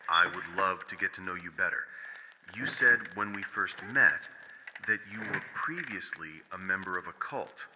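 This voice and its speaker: A man playing a measured therapist in an audio horror drama, questioning deliberately and clinically. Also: sits low, lightly acted. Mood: probing, measured. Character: probing, measured male therapist. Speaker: voice actor. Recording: long reverb with faint hiss and clicks.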